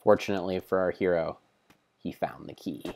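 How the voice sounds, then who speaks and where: A man narrating video game play as a solemn, theatrical storybook tale, in measured phrases. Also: low-pitched, gravelly, a little mellow. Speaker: streamer narrating own gameplay.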